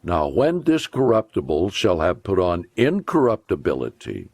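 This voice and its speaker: Male preacher for solemn scripture reading: low voice, widely varied intonation, deliberate and emphatic delivery. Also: huge pitch swings, darkish tone, no echo, clean studio sound.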